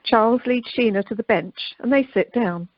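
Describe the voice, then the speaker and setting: A woman's voice: on the high side, moderate pace, no room sound. A woman reading scripted narration and stage directions for an amateur radio play, recorded over the telephone. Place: recorded remotely by telephone during lockdown. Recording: muffled telephone conference line.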